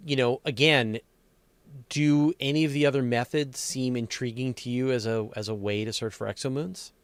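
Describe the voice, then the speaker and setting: A man's voice: mid-range voice, steady tone, moderate pace, clean tone, dry recording. A man hosting a conversational science interview, inquisitive and restating ideas step by step. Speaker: interview host.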